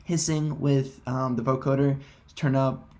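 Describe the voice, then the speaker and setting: A man presenting a casual software tutorial in hesitant, halting, unscripted phrasing. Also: mid-range voice, flat delivery.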